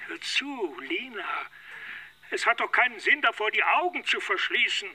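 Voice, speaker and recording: A man's voice: high-pitched, expressive, normal speed, bright, forceful tone, heavily acted, rough recording. An older man acting in a German radio drama as a stern, agitated father, speaking insistently and indignantly. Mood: agitated, reproachful. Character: agitated, stern older father disapproving of his son-in-law.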